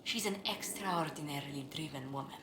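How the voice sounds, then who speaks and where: A woman playing a courteous, tactful court diplomat in a fantasy game, speaking in a polite, measured, formal way. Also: low voice, lightly acted. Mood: courteous, composed. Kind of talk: scripted game dialogue. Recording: echoey room, clearly noisy game capture.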